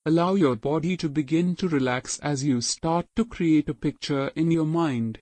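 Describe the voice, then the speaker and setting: A man guiding a meditation in a slow, soothing, evenly paced scripted voice. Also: a little high, darkish tone, no room sound. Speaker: meditation guide.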